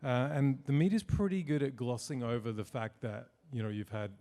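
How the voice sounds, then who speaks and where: A man's voice: mid-range voice, normal speed, warm tone. A man hosting an interview, asking questions in a wry, teasing way with many hesitations. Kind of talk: casual interview talk.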